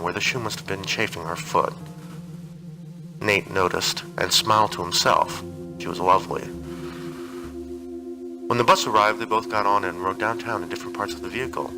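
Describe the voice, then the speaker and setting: A man's voice: fairly low pitch, rough recording. A man reading a piece of fiction aloud as a narrator, in a scripted audiobook style.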